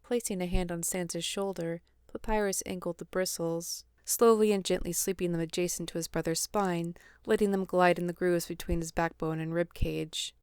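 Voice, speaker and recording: A woman's voice: fairly low pitch, steady tone, moderate pace, hardly any pauses, darkish tone, clean tone, no room sound, studio quality. A woman reading scripted story narration for an audio drama. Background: music.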